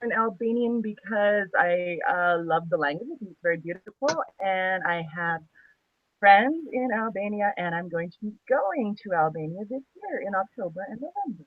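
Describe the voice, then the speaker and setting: A woman's voice: medium pitch, lively intonation, moderate pace. A woman with an American accent, a language student introducing herself casually. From United States. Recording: thin phone-like video call audio.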